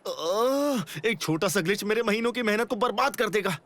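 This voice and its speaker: A man voicing a vain, anxious, easily exasperated game inventor in an animated comedy dub. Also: high-pitched, normal speed. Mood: dismayed.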